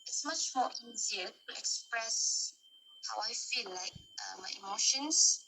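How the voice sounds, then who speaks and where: Slow, bright-voiced hesitant woman. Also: fairly high pitch, wildly swooping pitch, creaky, a little hiss or hum, low-quality recording. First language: Dusun. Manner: hesitant, searching for words, restarts. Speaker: interviewee. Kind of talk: answering interview questions.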